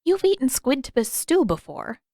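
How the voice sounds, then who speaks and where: A woman voicing a kindly, playful and gently curious elderly woman in a story reading. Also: high-pitched, sing-song, moderate pace, no echo, clean studio sound, pitched above the performer's own voice. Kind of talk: audiobook character dialogue.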